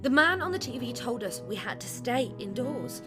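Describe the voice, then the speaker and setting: A protective daughter in a zombie audio drama. Her voice is high and swings widely with urgency as she pleads. Music plays clearly behind her. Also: big pitch swings, normal speed. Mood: pleading, urgent. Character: anxious, protective daughter trapped in a zombie outbreak.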